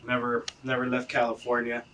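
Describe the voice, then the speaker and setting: A man's voice: mid-range voice, monotone, brisk. A man from Los Angeles, a guest in a casual chat, laid-back and rambling, swearing often. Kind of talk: casual chat over a beer pong game. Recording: distant mic with loud background noise.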